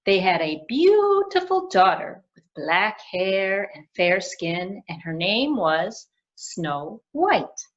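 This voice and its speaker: A woman tells a fairy tale to young children, slowly and gently. Her voice rises and falls expressively. The recording sounds dull and lo-fi. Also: mid-range voice, big pitch swings. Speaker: children's librarian and puppeteer. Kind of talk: storytelling for children.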